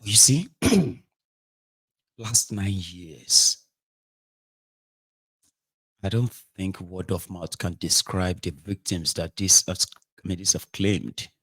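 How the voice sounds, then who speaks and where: A Nigerian man in his forties giving an impassioned political monologue, emphatic and rhetorical, jumping between loud outbursts and quiet asides. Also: deep voice, slow, pauses often, breathy edge, poor audio. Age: 40s. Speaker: political commentator.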